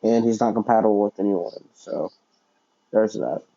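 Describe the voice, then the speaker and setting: Young man, low mellow voice, rambling fan-story narrator, dull lo-fi mic. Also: moderate pace, creaky. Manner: rambling, self-correcting, many fillers. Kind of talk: casual unscripted storytelling.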